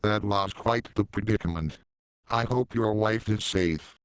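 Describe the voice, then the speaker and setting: A man's voice: lowish voice, unhurried, clear, resonant tone, no room sound, close to an everyday voice, rough recording. A man playing a brusque, sardonic facility commander in an amateur audio drama.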